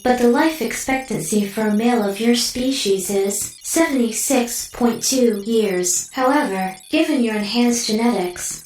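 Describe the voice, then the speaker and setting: Clinical female AI , voiced by a woman: higher register, literal, detached, distant echoing sound, music underneath. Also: close to an everyday voice. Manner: precise, formal, literal and detached. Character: coolly clinical female artificial intelligence delivering blunt data. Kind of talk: audio drama acting.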